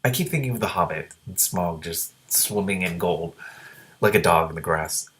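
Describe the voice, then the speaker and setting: A man with a low-pitched voice reads a novel out loud as a host. He slips between the narration and his own spontaneous commentary, with animated, incredulous reactions. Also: moderate pace. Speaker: reader with commentary, show host.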